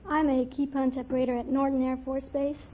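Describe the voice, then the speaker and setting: High-voiced woman on old radio. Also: flat delivery, a little fast, warm tone. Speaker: quiz show contestant. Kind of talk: answering interview questions. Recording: dull vintage radio, faint hiss.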